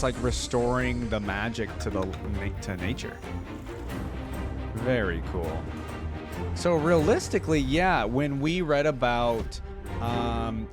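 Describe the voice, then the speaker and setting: Casual man talking over prominent music. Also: mid-range voice. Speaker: reaction video host. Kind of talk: casual game lore commentary. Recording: loud music behind the voice.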